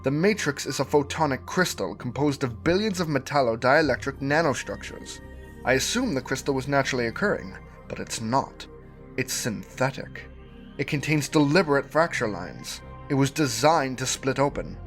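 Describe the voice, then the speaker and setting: A man playing a precise, intrigued robot scientist in an audio drama, technical and deliberate. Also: mid-range voice, expressive, a bit bright, soft background music, close to an everyday voice.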